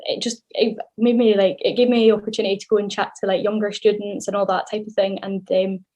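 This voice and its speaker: A woman's voice: medium pitch, little pitch movement, fast, dark tone. A young woman in her early twenties from southwest Scotland, with a Scottish accent that carries a slight Irish lilt, chatting casually and upbeat as a podcast guest. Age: early 20s. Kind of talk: casual interview chat.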